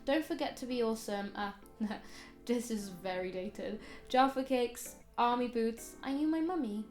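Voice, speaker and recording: A woman's voice: fairly high pitch, moderate pace. Brief: woman in her twenties, mixed British accent, chatty vlogger, roomy echo, faint music behind. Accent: mixed British, hard to place. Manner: animated, chatty, playful. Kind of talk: casual chatty vlog.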